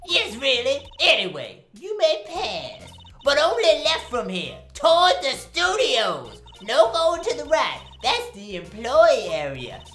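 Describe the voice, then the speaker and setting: A woman's voice: high voice, wildly swooping pitch, a bit bright, echoey room, soft background music, exaggerated voice. A woman playing a bossy, snappish old lady security guard in a video game fandub, haughty and scolding, drawing out her words. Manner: haughty, scolding, drawn-out delivery.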